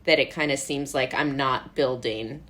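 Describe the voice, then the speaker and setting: A woman's voice: extremely low pitch, normal speed, fairly bright tone. An American woman from Brooklyn talking casually as an interview guest, informal, rambling, amused and self-deprecating. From Brooklyn, United States.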